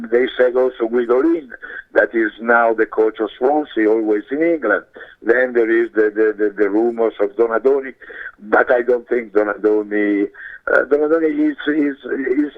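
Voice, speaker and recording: A man with an Italian accent and a hoarse voice, giving soccer commentary as a guest in a halting, repetitive way. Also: mid-range voice. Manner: halting, repeats words. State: hoarse, losing his voice. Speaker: guest soccer pundit and radio show host. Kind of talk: sports interview commentary. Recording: muffled narrow-band phone line.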